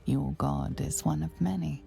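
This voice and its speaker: A woman playing the grave narrator of a dark fantasy game, solemn, measured and ominous. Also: sits low, vocal fry, soft background music, exaggerated voice.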